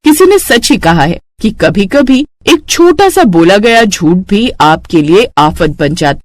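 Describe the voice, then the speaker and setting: A woman reading scripted narration for an animated moral story. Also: medium pitch, unhurried, dry recording.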